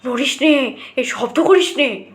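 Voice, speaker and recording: A woman's voice: high-pitched, takes it slowly, reverberant. A woman voicing an anxious, tender old village father in an audio story, urgent and imploring. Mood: urgent hushing.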